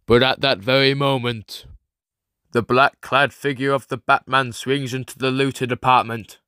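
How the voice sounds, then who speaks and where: A man's voice: medium pitch, fairly even intonation, unhurried, pushed and bright, no echo. A man reading scripted, dramatic comic book narration as the storyteller. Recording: music clearly behind the voice.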